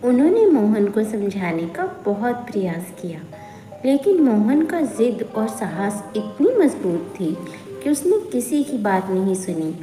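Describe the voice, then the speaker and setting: A woman narrating a scripted horror folk tale in a measured, dramatic storytelling manner. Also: mid-range voice, sing-song, warm tone. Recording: echoey long reverb, music underneath. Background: background music.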